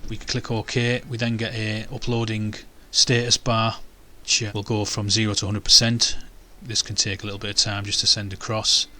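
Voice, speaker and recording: Male tech tutorial presenter, informal and instructional, lowish pitch, flat delivery. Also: moderate pace, hardly any pauses. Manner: informal step-by-step explaining. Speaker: technical presenter. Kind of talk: product demonstration tutorial.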